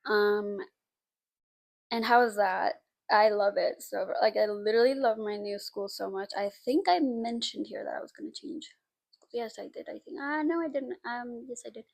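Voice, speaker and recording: A woman's voice: a little high, normal speed, gravelly, no echo. A young woman from Costa Rica with a mixed Costa Rican and Spanish accent, chatting to camera at home in a rambling, self-deprecating way with lots of fillers. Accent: mix of Costa Rican and Spanish from Spain. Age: young adult. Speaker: vlogger. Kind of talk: casual chat to camera. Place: home room. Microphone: camera mic.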